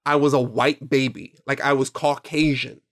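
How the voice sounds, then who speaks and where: A man's voice: medium pitch, steady tone, moderate pace, gravelly. A man in his twenties whose first language is Spanish, chatting casually on a podcast, rambling and swearing freely as he quotes his own thoughts. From Mexican and Nicaraguan heritage. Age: 20s. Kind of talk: casual chat.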